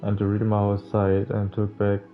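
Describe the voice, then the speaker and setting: A young man with a lowish, mellow voice reads a visual novel aloud for a playthrough. His delivery is very flat and casual, with stumbles along the way. Faint music plays behind him. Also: narrow, tinny audio. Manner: halting, stumbling reading with casual slangy interjections. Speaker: gaming streamer. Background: faint game music.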